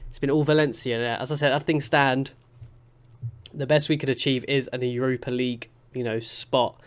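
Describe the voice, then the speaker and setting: A man's voice: mid-range voice, normal speed. Congested young man commentating a game. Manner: chatty, excitable play-by-play with many restarts. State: congested with a cold. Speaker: gaming YouTuber.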